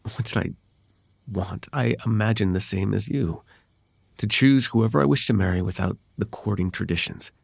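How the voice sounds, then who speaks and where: Man playing a gravelly, lovelorn fae prince. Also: fairly low pitch, no room sound, studio quality, full character voice. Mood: wistful, devoted. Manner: halting, tender, formal old-fashioned wording. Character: possessive, lovelorn fae royal heir, male, pleading and anxious. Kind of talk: ASMR roleplay, intimate dramatic monologue.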